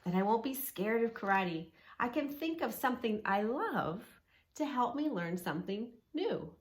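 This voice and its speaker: A woman voicing an anxious, earnest little girl in an expressive children's storybook reading. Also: fairly high pitch, unhurried. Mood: excited, relieved. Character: anxious little girl scared of her first class.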